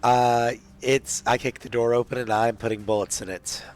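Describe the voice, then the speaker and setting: A man's voice: medium pitch, level tone, normal speed, soft background music. A man playing a tabletop roleplaying game, talking casually through his moves and rolls.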